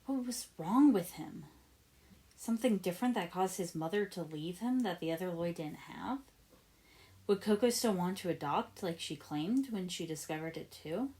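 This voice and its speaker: A woman's voice: lowish voice, warm tone. A woman narrating fiction as an amateur audiobook reader, with expression in the scripted prose.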